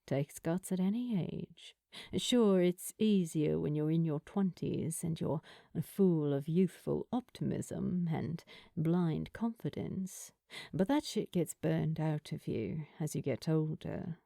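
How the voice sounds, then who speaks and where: A woman voicing a confident, kind middle-aged queer woman with a smooth, smoky, casual delivery in a narrated story. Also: deep voice, slow, no room sound, studio quality, voice pushed down, heavily acted. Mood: kind, encouraging. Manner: relaxed, casual, reassuring.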